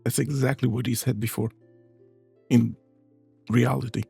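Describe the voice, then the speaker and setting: Low, husky, creaky-voiced woman streamer. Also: fairly even intonation, normal speed, warm tone, faint music behind. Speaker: streamer playing a game. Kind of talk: casual gameplay commentary.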